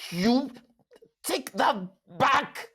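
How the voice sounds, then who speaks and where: Husky, cocky boy adventurer. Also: very high-pitched, animated, drawn-out words, sharp tone, exaggerated voice. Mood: indignant. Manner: boastful, taunting.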